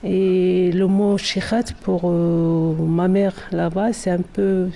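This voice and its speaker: A woman's voice: on the low side, flat delivery, slow pace, gravelly, mellow. A woman who grew up between the Netherlands and Morocco, speaking informally in an interview and searching for words. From France, Morocco and the Netherlands. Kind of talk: casual interview talk.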